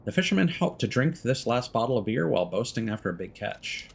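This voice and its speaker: A man doing casual let's play commentary with very varied intonation. He is friendly and informal as he talks to viewers, with faint game ambience behind his mid-pitched voice. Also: very animated, a little fast, vocal fry, a little hiss or hum. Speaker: livestreamer playing a video game.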